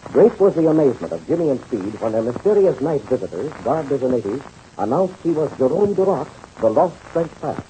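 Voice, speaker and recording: A man's voice: a little high, very animated, a little fast, mellow. A man narrating an adventure serial as an announcer in the dramatic style of 1930s radio. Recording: noisy, muffled old radio transcription.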